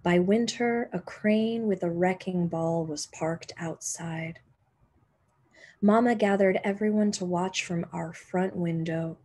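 A young woman, a teacher reading a children's picture book aloud expressively, with deliberate dramatic pauses and emphasis. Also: lowish voice, warm tone. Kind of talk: expressive children's storybook narration.

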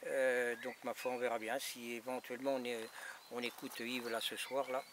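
A man's voice: mid-range voice, level tone, moderate pace. A man in his fifties talking casually and repetitively as a radio operator, recorded outdoors by a camera a short way off. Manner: repetitive, colloquial. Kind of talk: casual two-way radio chat. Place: outdoors by a gravel drive in a rural garden. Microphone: camera a metre or two away. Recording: noisy outdoor camera audio with wind.

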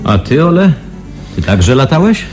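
Mellow male actor as curious listener. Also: mid-range voice, very animated, moderate pace, faint music behind, natural voice. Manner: brief, attentive prompting. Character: curious male listener prompting a fellow patient. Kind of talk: radio drama acting.

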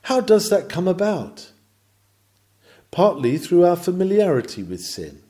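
A man's voice: high voice, sing-song, a little slow, warm tone. A man reading a scripted sermon in a solemn, emphatic, rhetorical manner. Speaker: reader of a historical sermon. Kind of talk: sermon, read from a script with oratorical delivery.

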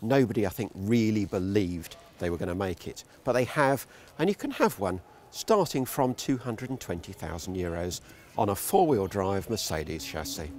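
An older presenter with a lively, up-and-down voice and a wry, dry sense of humour. He strolls through a large indoor exhibition hall, talking as he goes on a clip-on mic. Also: lowish voice, moderate pace. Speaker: motorhome reviewer. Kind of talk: presenting a walkaround video.

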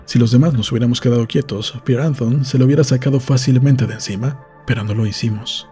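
A man's voice: mid-range voice, steady tone, fast, warm tone. A man reading a novel aloud as scripted audiobook narration for a podcast. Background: faint music.

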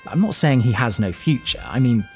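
A man's voice: mid-range voice, animated, moderate pace, darkish tone, faint music behind, lightly acted. An actor playing a fussy, suspicious, self-satisfied young businessman in an audio drama, clipped, emphatic and repeating himself.

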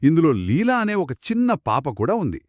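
A man co-narrating a children's moral story in an expressive, conversational way. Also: a little high, normal speed, dry recording. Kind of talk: conversational two-person storytelling.

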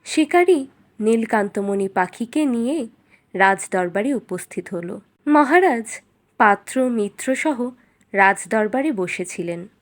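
A woman's voice: a little high, animated, slow. A woman narrator reading a scripted fairy tale for children.

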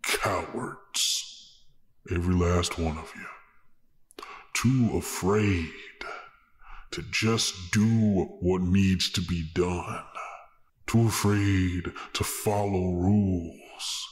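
A man as a slow, deep, echoing sinister stranger. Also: steady tone. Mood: scornful. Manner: slow, taunting, theatrical, folksy drawl. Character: sinister preaching male stranger, menacing, smug, folksy. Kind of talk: tabletop role-play villain acting. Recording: echoing hall reverb effect on voice.

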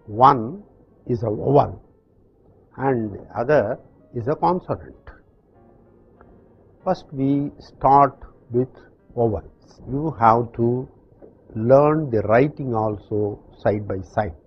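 An older man from South India with a South Indian accent, teaching in slow, deliberate steps in front of a chalkboard. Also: medium pitch, highs cut off, soft background music. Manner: slow, deliberate explanations with pauses. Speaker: language teacher. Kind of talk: slow classroom instruction. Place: classroom with chalkboard.

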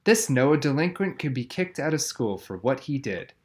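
A man's voice: medium pitch, very animated, moderate pace, darkish tone, voice pushed up, lightly acted. A man voicing an indignant schoolgirl in a children's novel, scolding and lecturing.

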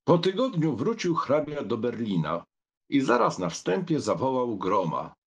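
A man reads a novel as narrator in a dramatic storytelling style. His mid-pitched voice has a husky grain and moves through a lively, wide melody. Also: measured pace.

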